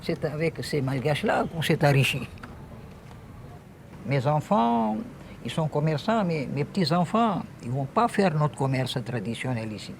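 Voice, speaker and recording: An older man from Madagascar whose first language is Gujarati, talking slowly and haltingly in a documentary interview as a merchant. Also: a little high, very animated, gravelly, slight background noise. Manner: slow, halting, searching for words.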